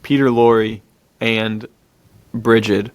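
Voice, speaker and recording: A man's voice: on the low side, level tone, slow, dry recording. A man co-hosting a podcast, talking through films in a laid-back, slangy, casual way. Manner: laid-back slangy talk, laughs easily. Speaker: podcast co-host. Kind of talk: casual film discussion, chatty retelling of plots.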